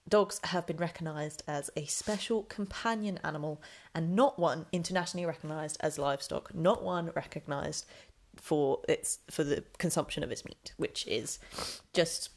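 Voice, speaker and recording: A chatty young woman talks her way through a relaxed solo podcast, with plenty of ums and friendly asides. Her voice sits fairly low and comes across a little nasal and snuffly. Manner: chatty, full of ums, rambling. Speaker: podcast host.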